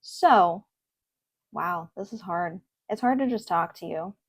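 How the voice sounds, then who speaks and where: A young woman hosting a casual reaction video from her desk at home, chatty and earnest, pausing often with ums. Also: on the low side, breathy edge, no room sound, studio quality. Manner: chatty and earnest, with fillers and pauses. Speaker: host. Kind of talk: casual reaction video, reading posts aloud.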